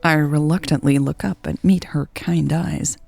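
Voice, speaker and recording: A woman's voice: sits low, fairly even intonation, measured pace, vocal fry, a little mellow, faint music behind. A woman reading a first-person romance story as a scripted narration.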